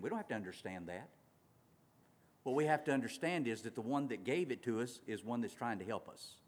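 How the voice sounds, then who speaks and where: A man preaching a sermon, swinging between loud urgent passages and quiet slow ones, drawing out words for emphasis. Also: mid-range voice. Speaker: pastor. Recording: long reverb of a large hall.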